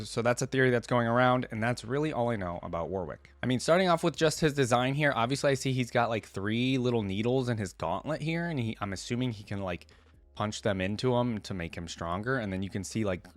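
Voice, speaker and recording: A man's voice: on the low side, no echo. A man hosting a reaction video, talking casually and reading aloud in a conversational way, as if thinking aloud.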